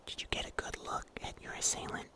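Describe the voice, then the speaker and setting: A man playing a wry, protective huntsman in a breathy, whispered ASMR roleplay. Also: natural voice. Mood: ominous, wry. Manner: slow, hushed, gently teasing. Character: mysterious huntsman in dark woods, wry and protective.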